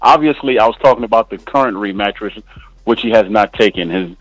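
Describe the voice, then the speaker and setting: A man's voice: lowish voice, a little fast, no room sound, rough recording. A man calling in to a show, arguing back defensively and insistently. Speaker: caller. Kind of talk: heated argument.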